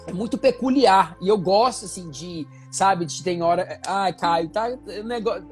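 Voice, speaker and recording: A man from Minas Gerais, Brazil, hosting a casual chat in a lively, rambling way with many fillers. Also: high voice, measured pace, soft background music. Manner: chatty, animated, many fillers. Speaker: show host. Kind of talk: casual conversation.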